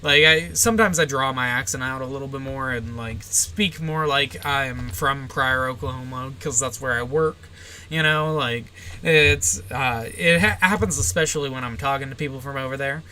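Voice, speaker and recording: A young American woman streams from home and runs through viewer questions one after another. Her tone is bright and a little sharp, and she keeps her answers short and dry, with frequent "uh"s. A faint hiss sits under the voice. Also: extremely low pitch, normal speed. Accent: general American. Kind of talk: casual livestream Q&A, answering chat.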